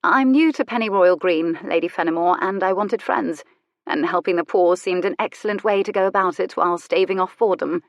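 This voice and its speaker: A woman's voice: on the low side, a little fast, no echo, natural voice. Woman playing a cool, witty, worldly courtesan. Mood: composed, bold. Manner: cool, playful, composed. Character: worldly younger widowed courtesan, bold, witty, unflappable.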